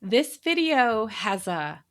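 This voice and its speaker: A woman's voice: fairly high pitch, very animated, slow, fairly bright tone. A woman co-hosting a podcast in casual chat, talking easily and laughing often between remarks. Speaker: podcast co-host.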